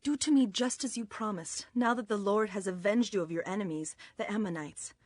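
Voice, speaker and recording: An actress playing a dutiful, gentle young daughter, resigned and sorrowful, in a dramatized audio Bible. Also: female voice, medium pitch, fairly quick, dry recording, studio quality, lightly acted. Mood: sorrowful resignation.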